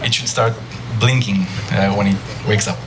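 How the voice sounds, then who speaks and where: A man's voice: on the low side, steady tone, moderate pace. A man with an Israeli accent giving a live tech talk to an audience, hesitating often with ums and uhs. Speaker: speaker at a nerd talk night. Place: bar venue before an audience. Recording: noisy live room.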